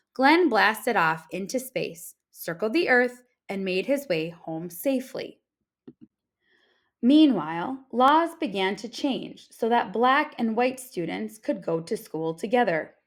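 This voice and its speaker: A woman reading an informational picture book aloud like a teacher, with deliberate, expressive phrasing for children. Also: medium pitch.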